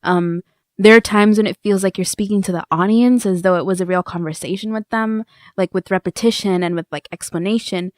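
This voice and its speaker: A woman hosts a conversational podcast interview with earnest, effusive reactions. Her pitch is medium, and the sound is dry with no room on it. She builds her thoughts out loud, piece by piece. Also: steady tone, fairly quick, dry recording. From immigrant to the US. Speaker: podcast co-host.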